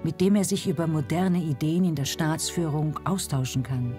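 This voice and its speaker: A woman narrates from a script in a warm, relaxed timbre. Her delivery is measured and uses dramatic pauses, with light music behind her. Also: low voice. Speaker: documentary narrator. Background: faint music.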